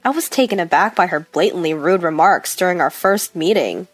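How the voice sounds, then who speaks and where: A woman's voice: fairly low pitch, fairly quick, dry recording. A woman reading a scripted first-person story as its narrator.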